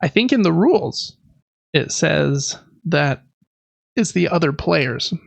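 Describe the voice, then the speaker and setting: A man's voice: fairly high pitch, lively intonation, takes it slowly, creaky, dry recording. A man in his thirties talking casually and explaining things as a podcast co-host. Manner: conversational, explanatory. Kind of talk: casual chat about board games.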